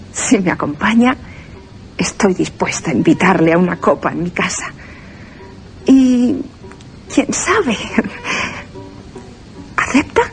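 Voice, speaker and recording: A flirtatious high-society London lady in a gothic radio play. She speaks slowly and breathily, in a theatrical, coquettish way, over prominent music. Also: full character voice, poor audio. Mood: coy, flirtatious. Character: flirtatious high-society London woman, fascinated and fearful of a vampire. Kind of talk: Spanish radio theatre acting.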